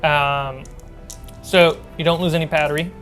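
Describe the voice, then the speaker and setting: A woman narrating as game master in a tabletop role-playing game, halting mid-sentence, with music behind her. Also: deep voice, fairly even intonation, a bit bright. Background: background music.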